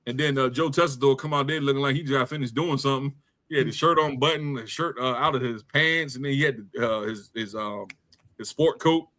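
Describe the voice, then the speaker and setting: A man's voice: mid-range voice, brisk, bright, forceful tone. Laid-back slangy man on a podcast. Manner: slangy, laid-back, laughs often, mimics people. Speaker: podcast host. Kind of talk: casual live-stream chat reading comments. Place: home.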